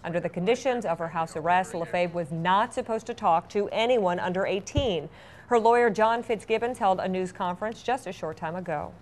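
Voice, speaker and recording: A woman's voice: fairly low pitch, fairly quick. A woman anchoring a newscast, reading scripted stories with light conversational asides. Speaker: TV news anchor.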